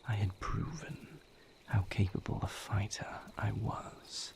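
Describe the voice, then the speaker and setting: A man playing a weary vampire lover who confides a painful past in a hushed, halting, solemn voice, as an intimate audio roleplay. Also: lowish voice, level tone. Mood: somber, haunted. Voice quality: hushed, breathy whisper with gravelly creak.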